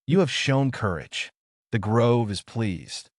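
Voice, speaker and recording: A man voicing a wise, kindly old forest guardian in a bedtime story, gentle and solemn in his measured delivery. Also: mid-range voice, expressive, no echo. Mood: pleased. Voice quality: soft, gentle.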